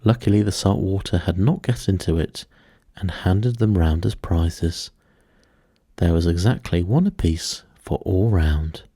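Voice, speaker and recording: A man narrates a bedtime storybook at a very unhurried pace. His voice is dark and mellow with a husky edge, and he keeps a hushed, measured, soothing delivery made for sleep. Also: deep voice, dry recording, studio quality.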